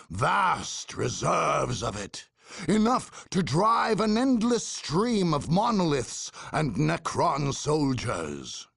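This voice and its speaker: Gravelly husky guy narrating a game story, grave n theatrical, really dry sound. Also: fairly high pitch, no room sound. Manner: grave, theatrical, deliberate emphasis. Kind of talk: dramatic scripted video game narration.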